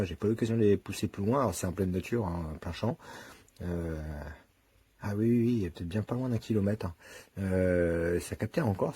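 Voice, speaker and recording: A man with a fairly low, husky voice reviews a product off the cuff. His delivery is casual and colloquial, and he thinks aloud while showing how things work. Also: dark tone.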